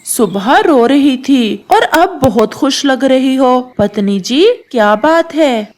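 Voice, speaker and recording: A woman voicing a gentle, devoted male pigeon husband in a Hindi moral-story cartoon, speaking in an earnest, consoling way. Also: high voice, lively intonation, takes it slowly. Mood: tender.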